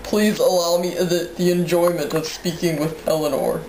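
A woman's voice: on the low side, fairly even intonation, moderate pace. A young woman making prank phone calls, asking her questions with mock-polite formality. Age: young adult. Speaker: prank caller. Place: small room at home, indoors. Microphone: camera microphone a short distance away. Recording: roomy, noisy home camera recording.

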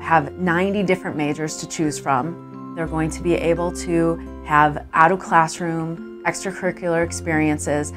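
Woman, low register, university staffer in a promo interview, music underneath. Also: fairly even intonation, moderate pace. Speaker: university admissions staff member. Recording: music behind the voice.

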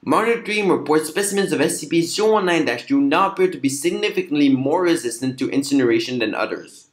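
A man reading out a formal, clinical document in a measured, steady way. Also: a little high, reverberant.

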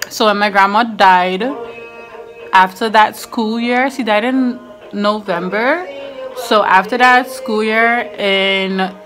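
A woman's voice: medium pitch, poor audio. A woman in her twenties from Trinidad chatting casually to the camera as she does her makeup. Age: 20s. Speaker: beauty vlogger. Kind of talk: casual chat to camera while doing makeup. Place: small indoor room with curtains and couch. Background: music playing.

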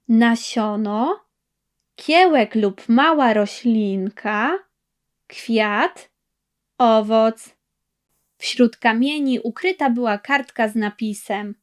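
Slow, high-voiced woman telling a children's tale. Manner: measured storytelling. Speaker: audiobook narrator. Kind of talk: scripted children's fairy-tale narration. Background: plucked guitar music.

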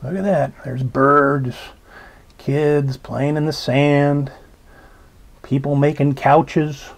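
A man reading a children's picture book aloud, slow and expressive, pausing to point out details in the pictures. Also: on the high side, vocal fry. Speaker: storybook reader. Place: indoors at a table.

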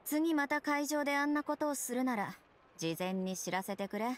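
A woman's voice: high voice, lively intonation, moderate pace, slight background noise. A woman playing a stern young police captain in an anime video game, speaking in a clipped, official, authoritative manner. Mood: grudging. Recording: game music behind the voice.

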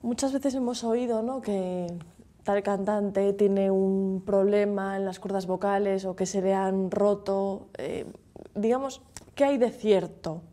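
Mellow-voiced Galician woman interviewer. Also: mid-range voice, fairly even intonation, slow pace. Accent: Galician Spanish. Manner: conversational, asks with tag questions. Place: TV studio.

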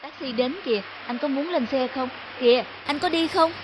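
A woman playing a kind, sensitive young woman in an animated drama, speaking earnestly and with emotion. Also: high-pitched, moderate pace, noisy background, lightly acted. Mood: concerned, gentle. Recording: music behind.

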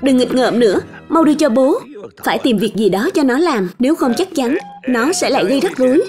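A woman's voice: sits high, lively intonation, fairly quick, darkish tone. A woman voicing a strict but caring father in a dubbed children's cartoon. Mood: exasperated. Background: background music.

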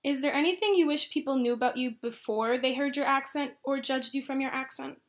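A woman's voice: sits high, fairly even intonation, a little fast. A woman interviewer reading out prepared questions to her subject.

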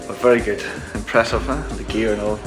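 A man vlogging a road trip in a relaxed, musing way, with soft chuckles, talking outdoors on a coast. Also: fairly low pitch, brisk. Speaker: travel vlogger. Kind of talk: casual vlog narration. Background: loud music.

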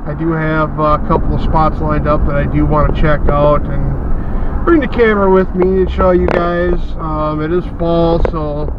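A man in his thirties talks to his viewers from the driver's seat, rambling loosely with plenty of ums and the odd curse word. His voice sits a little high for a man. The action-camera audio is dull and lo-fi, buried under heavy road and engine noise. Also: fairly even intonation, unhurried. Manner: rambling, frequent ums and uhs, mild swearing. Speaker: outdoor explorer vlogger. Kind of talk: casual vlog chat to camera. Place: outdoors by a river cliff, then inside a moving car. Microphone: action camera, handheld outdoors, dash-mounted in car.

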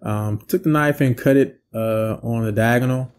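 A man in his thirties hosting a home cooking channel, talking through a recipe in a relaxed, rambling way with lots of fillers. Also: mid-range voice, moderate pace. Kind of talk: casual cooking voice-over and taste test. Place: indoor home set with plain backdrop.

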